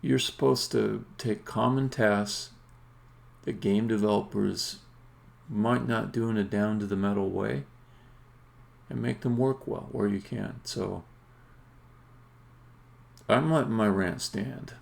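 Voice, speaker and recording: Middle-aged male developer-streamer, heavy vocal fry, unhurried pace, casual but opinionated delivery with emphatic stresses. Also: lowish voice, fairly even intonation. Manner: rambling, opinionated, halting then emphatic. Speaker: indie game developer, streamer. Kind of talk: casual live-coding commentary.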